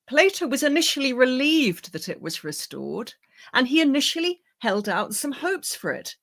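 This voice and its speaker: A woman lecturing on philosophy, her voice croaky as she recovers from illness, speaking in a lively, wry way with many fillers. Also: on the high side.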